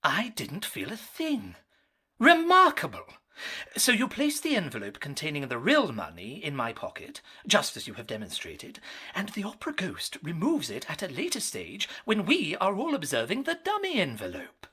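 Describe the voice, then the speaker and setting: An actor playing a pompous, excitable, self-satisfied male opera manager in a radio drama, theatrical and wordy. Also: high-pitched, normal speed, breathy edge, heavily acted. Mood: pompous delight. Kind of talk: full-cast radio drama acting.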